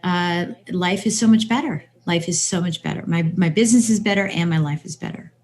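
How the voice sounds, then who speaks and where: A woman's voice: lowish voice, a little fast, darkish tone. An older woman, around sixty, talking conversationally as an interview guest, giving motivational advice and repeating phrases for emphasis. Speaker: podcast guest, business coach.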